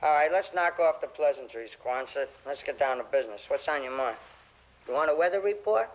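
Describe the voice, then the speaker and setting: A man's voice: fairly high pitch, animated, fairly quick, dull audio. An actor playing an easygoing, wisecracking man living on the moon. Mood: wry. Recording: loud music behind.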